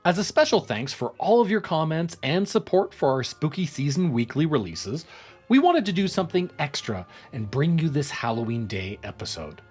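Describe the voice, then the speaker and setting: A man's voice: a little high, big pitch swings, normal speed, darkish tone, slightly rough, soft background music. A man hosting an audiobook channel, reading scripted credits and thanks to listeners. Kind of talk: scripted outro announcement.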